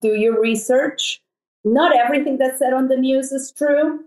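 A woman's voice: sits high, normal speed, a little mellow. Chatty middle-aged woman from El Salvador. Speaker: podcast guest. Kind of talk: casual conversation. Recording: echoey room.

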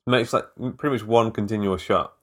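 Laid-back low-voiced podcast host. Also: male voice, fairly quick. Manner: chatty, laughing, trails off mid-sentence. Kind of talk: casual chat.

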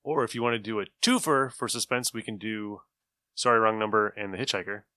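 A man speaks casually as a podcast co-host, in a slightly low voice with a sharp, bright tone. His remarks are plain and informal, and the sound is dry and free of room echo. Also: steady tone, brisk. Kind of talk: casual chat, suggesting shows.

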